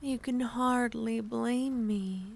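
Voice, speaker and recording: A woman playing a slow, whispery yandere witch. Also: on the high side, gravelly. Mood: teasing. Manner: soft-spoken, half-whispered, teasing. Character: seductive possessive female witch, teasing and scheming. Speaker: ASMR roleplay performer. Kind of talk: ASMR roleplay.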